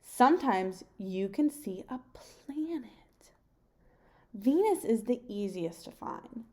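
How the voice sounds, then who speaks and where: Slow, mellow young woman reading to children. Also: on the high side, lively intonation. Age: young adult. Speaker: teacher reading a picture book aloud. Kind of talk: children's read-aloud with questions to listeners. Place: home kitchen, indoors.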